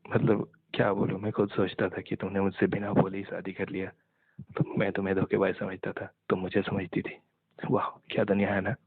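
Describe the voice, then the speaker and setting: A young man speaks in a low, husky voice with very little melody. He sounds halting and resigned, as in a private conversation over a narrow phone line. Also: completely flat delivery. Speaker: caller talking with an ex-partner. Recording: muffled narrow-band phone call, echoey.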